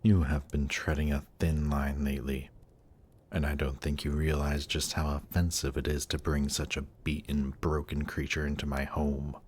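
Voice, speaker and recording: A man's voice: a bass voice, level tone, normal speed, darkish tone, slightly rough. A man playing a suave, haughty demon prince in a fantasy audio drama, speaking in a polished, courtly and condescending manner. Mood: quietly menacing.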